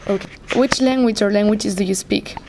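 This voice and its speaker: A woman's voice: mid-range voice, a little fast, background noise. Hesitant young Spanish woman. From Spain. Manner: hesitant, with frequent "uh" fillers. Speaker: student interviewee. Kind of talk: casual interview answers.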